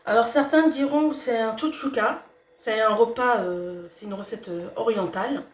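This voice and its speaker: A young woman with a cold, talking in a voice on the higher side as she cooks at home. Her speech is hesitant and chatty, full of fillers and restarts. She sounds set back from the mic in an echoey kitchen. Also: moderate pace, far from the mic. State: has a cold. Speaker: cooking vlogger. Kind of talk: casual cooking video. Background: sizzling pan and stirring.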